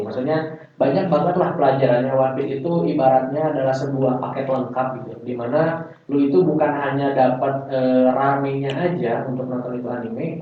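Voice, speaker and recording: A young man chatting casually as a podcast guest, heard in an echoey room. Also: medium pitch. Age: young adult. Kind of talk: casual chat. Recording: echoey, distant, tinny phone-like sound, faint noise.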